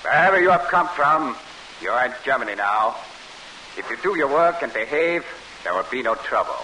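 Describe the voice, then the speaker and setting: Stern nazi camp boss, sharp hard voice, old radio drama w faint hiss. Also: male voice, mid-range voice, expressive, normal speed, rough recording. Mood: cold, threatening. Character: stern, menacing Nazi labor camp commandant. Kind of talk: 1940s radio acting. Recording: hissy vintage radio broadcast recording.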